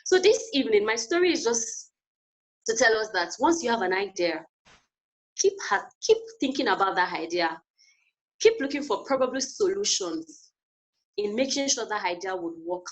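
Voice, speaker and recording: A woman's voice: a little high, sing-song, moderate pace, vocal fry, low-quality recording. A woman giving an earnest, encouraging webinar talk over a video call, repeating her key phrases. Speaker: guest speaker.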